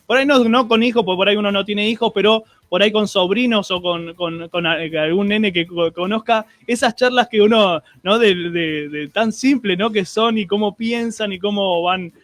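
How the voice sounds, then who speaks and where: Argentine man, radio host, chatty with restarts, high voice, dry sound. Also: moderate pace, few pauses, fairly bright tone, no echo. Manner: chatty, stumbling restarts and fillers. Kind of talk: casual radio chat and listener greetings. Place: radio studio, streamed.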